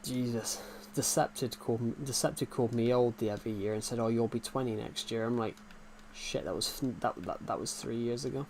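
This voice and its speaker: Young male streamer, twenties, mid-pitched, rambling and repetitive, casual chat to viewers, faint background noise. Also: normal speed, few pauses, a little mellow, low-quality recording. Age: 20s. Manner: rambling, repeats himself, answers chat. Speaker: gaming streamer. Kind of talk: casual live-stream chat while playing.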